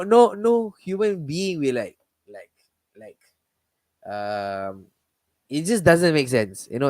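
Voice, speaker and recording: A man's voice: mid-range voice, a little mellow, no echo. Rambling man. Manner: rambling, many restarts and fillers. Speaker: host. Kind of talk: casual live discussion.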